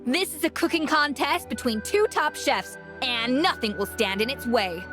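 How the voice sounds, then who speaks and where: High-voiced, proud, demanding chef played by a woman. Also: normal speed, music in the background, close to an everyday voice. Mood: demanding, challenging. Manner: forceful, scolding, emphatic. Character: proud, demanding former head chef woman, blunt mentor. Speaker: voice actor. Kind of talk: video game cutscene acting.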